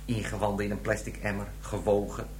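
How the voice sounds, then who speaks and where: Radio drama casting: man as squeamish police detective, lowish pitch, brooding and repelled, slightly noisy recording. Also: flat delivery, a little fast, darkish tone, close to an everyday voice. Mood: uneasy, disgusted. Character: squeamish policeman uneasy about autopsies. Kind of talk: 1990s Dutch radio drama acting.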